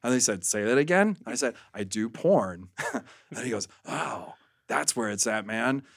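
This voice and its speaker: A man's voice: medium pitch, animated, unhurried, vocal fry, dry recording, clean studio sound. A man speaking as a podcast guest, telling things candidly and casually in an interview chat. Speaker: porn actor.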